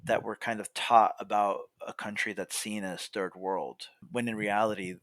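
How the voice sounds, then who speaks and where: A young man, a podcast guest, telling a story in a relaxed way, often filling pauses with "you know" and "like". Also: on the low side, no room sound. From California, USA. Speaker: podcast guest, coffee roaster. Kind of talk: casual storytelling.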